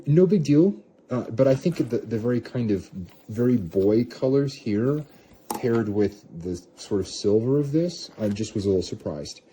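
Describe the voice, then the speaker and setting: A man's voice: mid-range voice, animated, moderate pace, warm tone, slight background noise. A man giving a casual, off-the-cuff product review, rambling and second-guessing himself as he goes. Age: adult parent of a young child. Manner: rambling, self-questioning, hedging.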